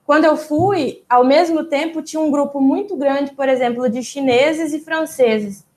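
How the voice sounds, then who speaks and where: A young Brazilian woman, a doctoral student, answering interview questions in a relaxed, explanatory way, with frequent small fillers and restarts. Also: high-pitched, moderate pace. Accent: Brazilian Portuguese. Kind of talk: casual interview storytelling.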